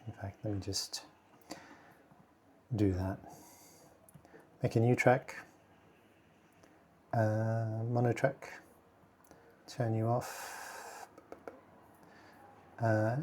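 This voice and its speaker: A man hosting a casual software tutorial, speaking quietly and thinking aloud with many fillers as he works. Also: on the low side, slight background noise. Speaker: video tutorial host. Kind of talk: casual screen-recording tutorial.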